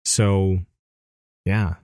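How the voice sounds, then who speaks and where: A man's voice: low voice, flat delivery, very slow, dark tone, no room sound, studio quality. A man hosting a casual podcast in an easygoing way, with frequent fillers and laughs between thoughts.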